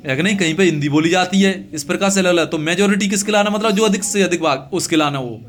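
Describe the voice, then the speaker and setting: A man with a north-central Indian accent teaching a lesson, repeating phrases and asking questions that he answers himself. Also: sits high. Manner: repetitive, asks questions and answers them himself; slow, deliberate reading of English phrases, translated piece by piece. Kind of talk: classroom English lesson explained in Hindi.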